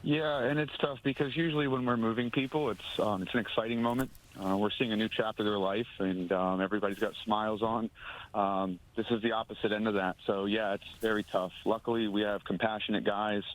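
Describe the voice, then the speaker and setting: A man, a moving company owner, speaking on a phone interview, halting with many ums and restarts. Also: on the low side, fairly even intonation, a little hiss or hum. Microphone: phone line. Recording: phone line audio.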